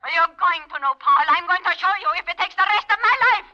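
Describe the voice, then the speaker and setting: Frantic vengeful invalid, very high and fast, muffled radio. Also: female voice, soft background music. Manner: frantic, rising, menacing. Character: vengeful woman in a hospital bed, bitter and threatening. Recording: muffled old radio recording.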